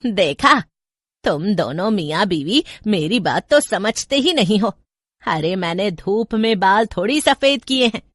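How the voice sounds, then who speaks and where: A woman voicing a sarcastic, scolding old mother-in-law in a horror story, grumbling in sharp rhetorical questions. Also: medium pitch, expressive, unhurried, dry recording, clean studio sound. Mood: grudging, sarcastic. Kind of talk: Hindi horror storybook narration with voices.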